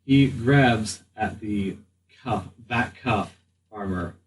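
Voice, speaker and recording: Slow, low-voiced man running a game. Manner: halting, thinking aloud. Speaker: game master. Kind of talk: improvised tabletop game narration. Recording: slightly distant mic, faint room noise.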